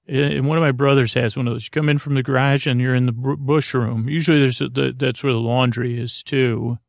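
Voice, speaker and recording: A man hosting a sleep podcast in a creaky, mumbling, soothing voice, rambling haltingly with many fillers and restarts. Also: mid-range voice, no echo, studio quality. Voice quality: mumbling, mushy-mouthed. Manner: lulling, soothing, meandering. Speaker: podcast host.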